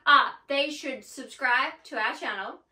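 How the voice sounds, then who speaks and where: A woman co-hosting a movie review show, chatting casually in an animated way with hesitant phrasing. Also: high-pitched, measured pace. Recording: echoey room, distant mic.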